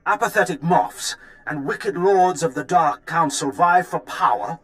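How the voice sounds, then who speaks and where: A male actor playing a defiant rebel leader giving a grave, deliberate broadcast speech in a video game. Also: a little high, fairly bright tone. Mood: defiant, solemn.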